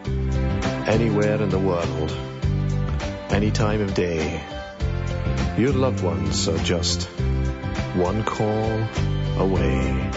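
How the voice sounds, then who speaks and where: An actor playing a smooth radio advertising announcer, delivering a measured voice-over. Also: male voice, sits low. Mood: sentimental, measured. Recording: dull radio sound under loud music.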